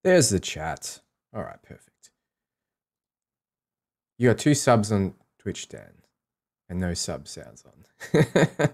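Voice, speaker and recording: A man's voice: fairly low pitch, big pitch swings, slow pace, pauses often, a little mellow. A man with an Australian accent hosting a livestream, chatting casually and thinking aloud in an informal way. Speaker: live streamer and host.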